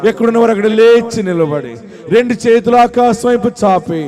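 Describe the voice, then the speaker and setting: A male pastor giving an impassioned sermon with prayer, proclaiming in repeated phrases and swinging between hushed and loud. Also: very high-pitched, a little fast, long reverb tail.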